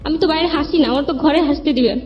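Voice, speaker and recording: A woman's voice: high voice, fast, a little mellow. A woman with a Bangladeshi accent tells her personal story in an interview, plainly and at length, often quoting what others said. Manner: rambling, plain-spoken narration with quoted dialogue. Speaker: guest telling her life story. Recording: band-limited dull sound with background music.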